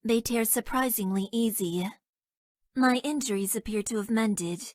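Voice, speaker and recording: A woman voicing a detached, self-deprecating young female mecha pilot in a video game, with deadpan, stilted and hesitant delivery. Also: on the high side, moderate pace, dry recording, clean studio sound, natural voice. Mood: deadpan, resigned. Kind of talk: video game character voice lines.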